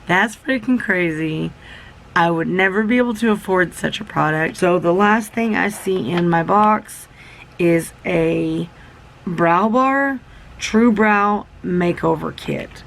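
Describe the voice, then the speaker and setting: Chatty young woman beauty vlogger, a bit sick and gravelly, talking fast and rambling. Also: fairly low pitch, poor audio. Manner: rambling, self-interrupting, enthusiastic. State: a little sick, losing her voice. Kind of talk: chatty product unboxing.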